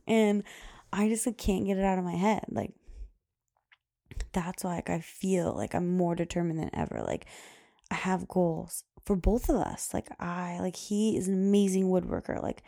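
A woman in her thirties hosts her own podcast, rambling through loose casual chat with fillers and frequent laughs. Her voice is loud, low and mellow, with a gravelly fry running through it. The sound is dry and close, with no room around it. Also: dry recording. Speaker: podcast host.

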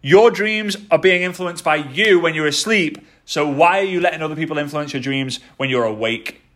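Energetic motivational man in his thirties. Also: fairly high pitch, moderate pace. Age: 30s. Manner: energetic, repeats phrases for emphasis. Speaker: motivational podcast host. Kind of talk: motivational talk.

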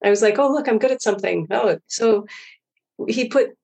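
Slightly husky middle-aged woman, chatting informally. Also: mid-range voice, moderate pace, a little mellow. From Hawaii. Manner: informal, rambling, quotes own thoughts. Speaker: interview guest, former engineer. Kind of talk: reflective personal storytelling in conversation.

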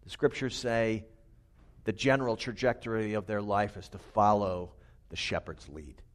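A middle-aged man with a loud, resonant voice preaching a sermon in a small chapel over a microphone, telling personal stories with humor. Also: fairly low pitch, moderate pace. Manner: lively, personal storytelling with humor. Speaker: pastor.

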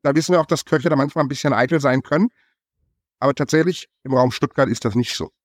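A man explaining things in a brisk, enthusiastic way, running through lists of countries and details. His voice is mid-pitched, and the recording is dry and close with little room sound. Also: no room sound. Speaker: chef association representative. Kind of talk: podcast conversation.

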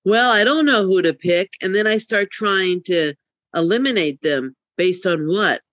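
A woman's voice: mid-range voice, expressive, unhurried, fairly bright tone, dry recording. Rambling, candid middle-aged woman in close, dry sound. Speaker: podcast co-host. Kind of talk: casual chat.

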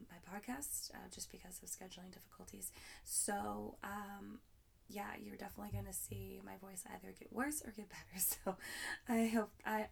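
A young woman hosting her own podcast in a casual, upbeat way, her voice hoarse and raspy, rambling with many fillers. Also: mid-range voice. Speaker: podcast host. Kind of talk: casual solo podcast talk.

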